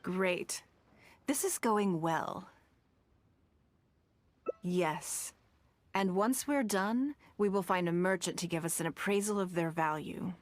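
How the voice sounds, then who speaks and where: A woman's voice: fairly low pitch, takes it slowly, long pauses, no echo. An actress playing a shrewd, wealthy noblewoman in a video game, composed, assured and refined. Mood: pleased, businesslike. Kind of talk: video game character acting.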